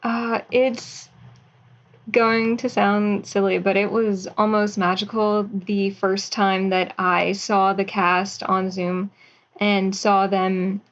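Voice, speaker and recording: A young woman in her twenties, a writer and actor, giving soft, thoughtful answers as an interview guest over a video call. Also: mid-range voice, level tone, slow pace, dull audio.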